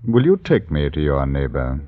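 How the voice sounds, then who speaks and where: An actor playing a stern sheriff of old London Town in a radio drama, questioning in formal, archaic phrases. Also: male voice, very deep voice, huge pitch swings, normal speed, exaggerated voice. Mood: stern, probing. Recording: dull, noisy vintage radio recording.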